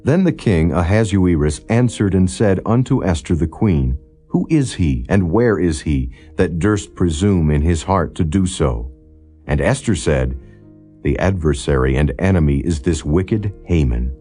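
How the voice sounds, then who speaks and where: Guy doing a solemn bible audiobook read, really low voice, bit husky, measured and formal. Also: expressive, warm tone. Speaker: audiobook narrator. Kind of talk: scripted scripture narration, non-dramatized reading. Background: background music.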